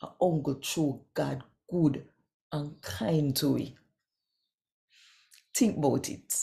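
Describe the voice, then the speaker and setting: A Jamaican woman in her sixties giving an expressive, deliberate scripture reading in Patois as host. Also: sits low, vocal fry. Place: small quiet room indoors.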